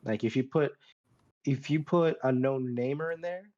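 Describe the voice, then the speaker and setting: A man's voice: mid-range voice, moderate pace, warm tone. A man chatting casually as a podcast panelist, giving opinions in a rambling way and qualifying his points as he goes. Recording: dull lo-fi mic.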